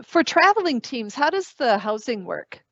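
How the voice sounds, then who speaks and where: An upbeat woman hosts an online career fair and keeps the questions coming. Her voice is fairly high. It comes through dry, dull video call audio. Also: very animated, normal speed, no room sound. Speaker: host. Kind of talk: moderating a virtual career fair.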